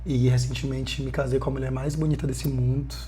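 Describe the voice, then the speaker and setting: Earnest young missionary man, mellow voice. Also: medium pitch, brisk, a little hiss or hum. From Bahia, Brazil. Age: 20s. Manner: earnest, lively storytelling with frequent fillers. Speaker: missionary guest. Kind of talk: casual podcast conversation.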